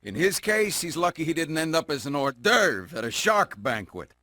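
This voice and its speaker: A wry male fish narrating an undersea cartoon game. The voice is bright and forceful, swinging up and down, in a dry close recording. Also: on the high side, very animated, moderate pace, dry recording.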